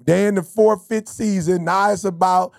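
Gravelly fifty-something man, animated. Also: high voice, normal speed, no echo, studio quality. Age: 50s. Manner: animated, storytelling, slangy with bro and you feel me. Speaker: podcast host. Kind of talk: casual motivational chat.

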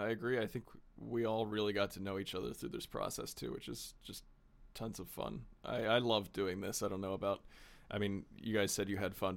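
A man with a fairly low voice talks with his cast in a relaxed, encouraging way. His tone is easygoing and friendly. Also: gravelly. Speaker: podcast creator. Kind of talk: casual chat.

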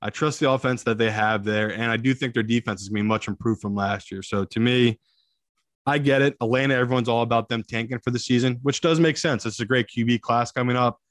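A man's voice: medium pitch, fast talker, no echo. A man co-hosting a betting show as an analyst, chatting casually in a blunt, opinionated way with emphatic repetition. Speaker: sports betting analyst, podcast co-host. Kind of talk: casual sports betting chat.

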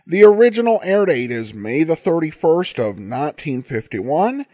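Upbeat American podcast host, dry sound. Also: male voice, fairly high pitch, very animated, moderate pace, warm tone, no room sound. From Idaho, USA. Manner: friendly, promotional. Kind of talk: casual podcast introduction.

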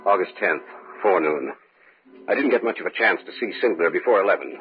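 A man playing an earnest, uneasy scientist in an old-time radio horror drama, speaking gravely and deliberately. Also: sits low, lively intonation, loud music behind the voice. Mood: grave, measured. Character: earnest uneasy male scientist recounting events in a journal. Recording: muffled, very noisy old radio recording.

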